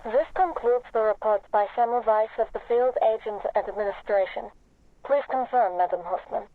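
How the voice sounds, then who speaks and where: A woman's voice: fairly high pitch, normal speed. An actress playing an easygoing female field agent over a radio link in a video game, casual in manner. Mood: businesslike. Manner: lighthearted, casual. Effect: radio or telephone filter. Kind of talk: video game character acting. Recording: thin, dry phone-like radio line.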